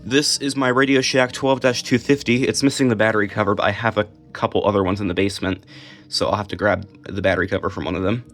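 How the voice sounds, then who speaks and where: An American man giving a casual, unscripted show-and-tell, halting and restarting as he goes. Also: fairly low pitch, fairly even intonation, a bit bright, soft background music. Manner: halting, unscripted, trailing off mid-thought. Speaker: video creator showing a collection.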